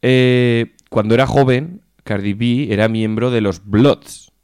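A man's voice: medium pitch, steady tone, takes it slowly, darkish tone, clear, resonant tone, no echo, studio quality. A man with an accent from Spain co-hosts a casual radio chat show in an informal, jokey way, swearing freely. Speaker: co-host of a music and chat show.

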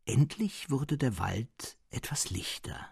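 A man reading literary fiction as narrator, in a measured, dramatic and expressive way. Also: mid-range voice, creaky, breathy edge, no room sound, whispered, clean studio sound. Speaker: narrator, reader.

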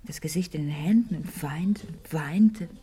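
An actress playing a wistful, high-strung lady of a wealthy household in a German radio play, speaking lyrically and haltingly, often hushed. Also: breathy edge, full character voice. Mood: sorrowful reminiscence. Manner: theatrical, lyrical, halting, often dropping to a hush.

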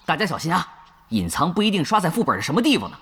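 An actor playing a brash, bossy young male leader of an online game party in an animated Chinese audio drama, with slangy excitable delivery. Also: a little high, rapid-fire, breathy edge, heavily acted. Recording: loud background music.

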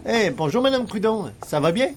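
A man's voice: high-pitched, sing-song, moderate pace, a little hiss or hum. A man playing a polite but grumbling everyman with a Quebec French accent, colloquial and hesitant, in radio comedy style. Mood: polite, awkward. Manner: hesitant, colloquial, sardonic asides. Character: everyman newspaper columnist, polite but wryly grumbling guest. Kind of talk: old-time radio comedy acting.

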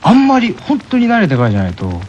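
A man's voice: sits high, wildly swooping pitch, fast talker, darkish tone, muffled recording, a little hiss or hum. A man chatting casually as a guest on a radio show, polite and somewhat reserved. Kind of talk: casual chat.

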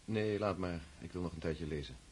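An actor playing a brooding, self-doubting young count in a radio drama, speaking in a hesitant, introspective way. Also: male voice, low-pitched, a little fast, a little mellow, slight background noise, natural voice. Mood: polite. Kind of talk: radio drama acting with inner monologue.

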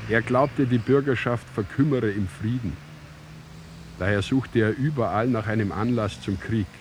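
An actor playing a sober chronicler of ancient Rome in an audio drama, narrating in a measured, matter-of-fact way. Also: male voice, lowish voice, a little hiss or hum, close to an everyday voice. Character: sober male chronicler retelling an ancient Roman war.

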